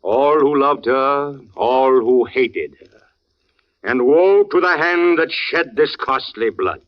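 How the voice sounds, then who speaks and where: A man's voice: medium pitch, lively intonation, slow. A grandiose old man of the theater, declaims with grief and vengeance in florid phrases. The sound is a dull, band-limited 1940s radio drama recording. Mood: grandly vengeful. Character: theatrical old actor, a grieving father bent on revenge.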